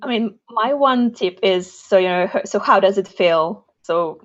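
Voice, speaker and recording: A woman's voice: mid-range voice, measured pace, no echo. A woman whose first language is Russian, speaking casually as an author on a panel, effusive and rambling as she gives writing advice. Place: online video panel. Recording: dull, lo-fi online call audio.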